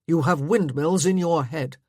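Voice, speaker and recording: A woman acts out a stubborn husband in a period romance audiobook, curt and sarcastic. His voice is husky and forceful, with formal, clipped phrasing in a dry recording. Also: low-pitched, lively intonation, normal speed, dry recording. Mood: petty, sarcastic.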